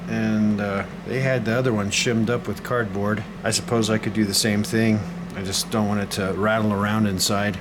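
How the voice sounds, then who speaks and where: A man's voice: lowish voice, level tone, moderate pace, noisy background. A man narrating a hands-on repair casually, thinking aloud as he works. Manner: thinking aloud while working. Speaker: hobbyist radio repairer.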